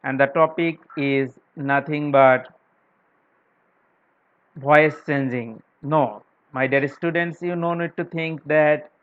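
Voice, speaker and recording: A man with a Bangladeshi accent and Bengali as his native language, teaching an English grammar class in a deliberate way, repeating phrases as he explains. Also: on the high side, steady tone, smooth. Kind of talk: instructional grammar lesson. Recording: thin, phone-like narrow-band sound.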